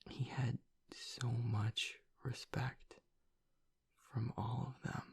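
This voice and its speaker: Man acting a gravelly, whispering, grieving young werewolf. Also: medium pitch, flat delivery, darkish tone, full character voice. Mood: reverent, sorrowful. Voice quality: breathy, gravelly near-whisper. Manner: halting, hesitant, confiding. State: choked up with grief. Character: grieving young male werewolf boyfriend, tough but vulnerable. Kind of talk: intimate audio roleplay monologue.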